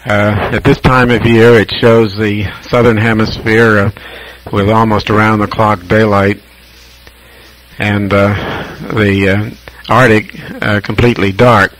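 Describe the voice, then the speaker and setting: An American man chatting casually as a ham radio operator over shortwave, measured and hesitant, pausing often as he describes things. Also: lowish voice, little pitch movement, fairly bright tone, a little hiss or hum, rough recording.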